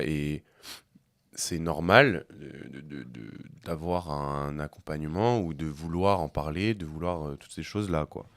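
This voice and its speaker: Co-host, man, very low voice, sniffly cold, halting casual talk, dry close mic. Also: dry recording. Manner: hesitant, many fillers and restarts. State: has a cold. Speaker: podcast co-host. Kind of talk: casual chat over a meal.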